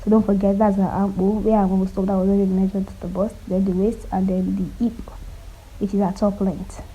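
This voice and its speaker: Soft-spoken woman with a cold, teaching sewing. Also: little pitch movement, a little fast, slight background noise, rough recording. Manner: quiet, low-volume delivery; chatty, checks that viewers follow along. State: has a cold. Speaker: sewing tutor. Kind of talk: casual step-by-step sewing tutorial.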